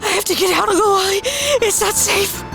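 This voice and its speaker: A woman's voice: very high-pitched, little pitch movement, normal speed, creaky, music in the background. A woman playing an anxious, secretive young woman in an audio drama, hesitant and earnest. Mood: frightened, urgent.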